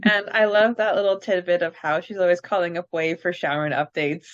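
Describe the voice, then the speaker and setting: A woman's voice: on the low side, steady tone, fairly quick. A woman co-hosting a casual fan podcast who chats in an easygoing way and says "like" a lot. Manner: chatty, uses "like" often. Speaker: podcast co-host. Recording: dull lo-fi mic sound.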